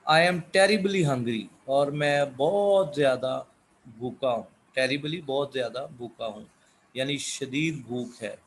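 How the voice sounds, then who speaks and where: A man teaching an English class, reading English phrases slowly and explaining them in Urdu. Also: medium pitch, slight background noise, low-quality recording. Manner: reads English examples slowly and deliberately, then explains in Urdu-flavored Hindustani.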